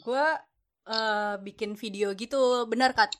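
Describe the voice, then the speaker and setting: A woman's voice: a little high, fairly even intonation, unhurried, a bit bright. Chatty young woman. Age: young adult. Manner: chatty, slangy Jakarta speech with many fillers. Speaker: university student. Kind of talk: casual chat, telling her story.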